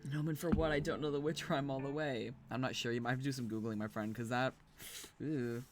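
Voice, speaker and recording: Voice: medium pitch, fairly even intonation, fairly quick. A streamer reading a bedtime story aloud, with casual, sweary, chatty asides to viewers. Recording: music playing behind the voice. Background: background music.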